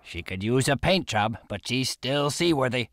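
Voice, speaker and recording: An actor playing a cocky, wisecracking pirate sidekick in a video game cutscene. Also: male voice, mid-range voice, animated, normal speed, fairly bright tone, dry recording, clean studio sound. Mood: eager.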